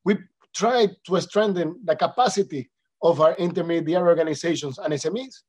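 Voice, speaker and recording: Dominican guy w spanish accent doing a webinar talk, lots of uhs and restarts, slightly high voice. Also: brisk, a little mellow, husky. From Dominican Republic. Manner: hesitant with many fillers and restarts, polite. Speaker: panelist representing an international organization. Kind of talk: webinar presentation.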